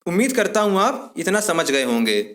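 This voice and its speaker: A man's voice: high voice, big pitch swings, normal speed, a bit bright. A man giving an explanatory lesson as an exam-prep instructor, methodically restating points in simpler words.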